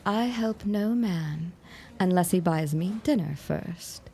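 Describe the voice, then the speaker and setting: A woman's voice: lowish voice, slow, creaky, warm tone. A woman acting a brusque, flirtatious and world-weary secretary in a 1910s period audio drama, with curt, slangy, sardonic delivery. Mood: flirtatious, brisk.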